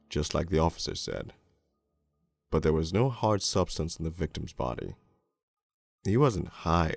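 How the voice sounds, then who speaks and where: A man playing a crude, cynical police detective, narrating a crime storybook in the first person in a gravelly, world-weary, deliberate way. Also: sits low, no room sound. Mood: cynical, brooding. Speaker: narrator and lead voice actor in an animated storybook.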